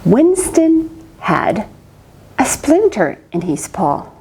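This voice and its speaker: A woman's voice: on the high side, huge pitch swings, takes it slowly, mellow, slight background noise. A woman reading a picture book aloud to children, coaxing them with questions about the story. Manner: coaxing, asks the listener questions. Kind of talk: interactive read-aloud for children.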